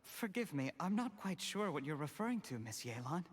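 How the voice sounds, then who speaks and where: A man's voice: a little high, sing-song, normal speed. An actor playing an ambitious, scheming young male official in a video game, formal, polite and defensive. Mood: feigned innocence. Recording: long reverb, faint background noise.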